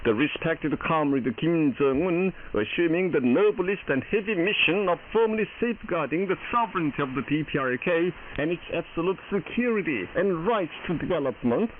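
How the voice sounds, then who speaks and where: Man reading news over crackly shortwave radio. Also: on the high side. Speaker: news announcer. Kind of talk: formal scripted propaganda news reading. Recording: noisy narrowband shortwave AM radio broadcast.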